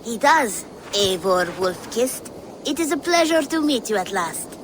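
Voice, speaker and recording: A confident kid trader in a fantasy game, posh polite talk, voice goes up and down a lot. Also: female voice, on the high side, big pitch swings, a little slow, background noise. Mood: courteous. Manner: formal, courtly, self-important. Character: self-assured child master of a merchant guild, grandly courteous. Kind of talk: video game character acting.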